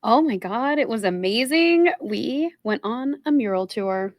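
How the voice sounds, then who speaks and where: A woman co-hosting a podcast in casual chat, with quick, teasing banter. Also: on the high side, lively intonation, no echo, clean studio sound. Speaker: podcast co-host.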